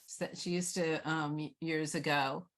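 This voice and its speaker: A woman hosts a Q&A in a casual, conversational style. Her voice sits a little on the low side. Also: fairly even intonation, a little slow. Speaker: moderator. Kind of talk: casual Q&A hosting.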